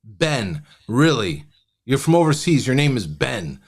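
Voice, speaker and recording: Male stream host, lively pitch, mocking and exasperated commentary. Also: a little slow. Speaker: host, live reviewer. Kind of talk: live commentary.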